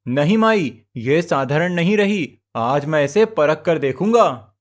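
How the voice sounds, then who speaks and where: A man's voice: fairly high pitch, lively intonation, measured pace. A man voicing a poor, simple young goatherd in a cartoon story, earnest and easily amazed.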